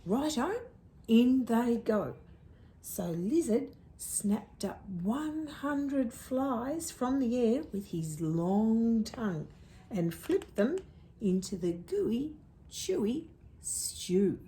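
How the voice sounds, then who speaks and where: A woman reading a picture book to young children, pausing to ask the listeners questions. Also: fairly high pitch, very animated, dark tone. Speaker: storyteller.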